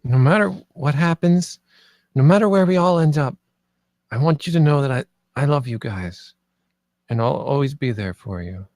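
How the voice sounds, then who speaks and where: A man's voice: medium pitch, normal speed, darkish tone, dry recording, clean studio sound, natural voice. A man playing an earnest, loyal kid hero in a screenplay table read. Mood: heartfelt.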